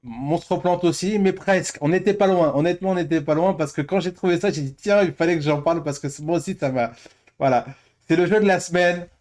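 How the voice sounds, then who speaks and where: A man's voice: a little high, brisk, a little mellow. A man co-hosting a pop-culture news show in casual, jokey group chat.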